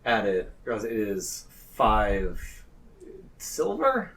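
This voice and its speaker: Game master narrating, slightly off the mic. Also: male voice, on the low side, huge pitch swings, slow pace, fairly bright tone. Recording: slightly distant mic, faint noise.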